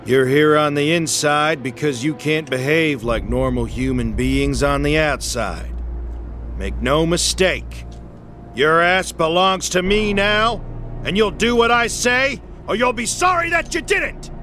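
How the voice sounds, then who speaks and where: A domineering prison captain barks foul-mouthed threats at new inmates. His voice sits fairly high and sounds bright and sharp, with faint music behind him in a game cutscene. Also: lively intonation, measured pace. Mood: menacing. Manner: barking, profane, intimidating.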